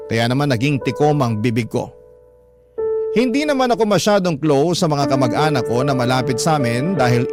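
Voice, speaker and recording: A man narrating a letter as an emotive, measured dramatic radio story. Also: mid-range voice. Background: loud music.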